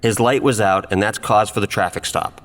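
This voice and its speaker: A man's voice: fairly low pitch, normal speed, fairly bright tone, big-room sound, lightly acted. A man playing a defensive police officer giving formal, self-justifying testimony in a courtroom audio drama.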